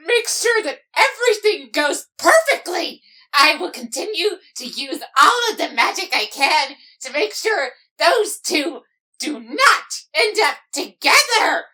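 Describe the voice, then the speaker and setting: A woman's voice: very high voice, sing-song, slow pace, pushed and bright, exaggerated voice, rough recording. A woman voicing an indignant, melodramatic villainous pony mare in a cartoon fan dub, given to whiny, over-dramatic outbursts. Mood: horrified, outraged. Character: melodramatic, indignant villainous young mare, protective older sister. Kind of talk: fan comic dub voice acting.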